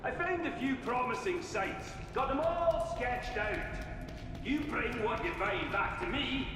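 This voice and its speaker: An actor playing a jovial, eccentric dwarf tinkerer in a video game, with a folksy, teasing manner. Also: male voice, very high-pitched, expressive, unhurried, noisy background. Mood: jovial, knowing. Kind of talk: animated video game character acting. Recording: roomy reverb under game music.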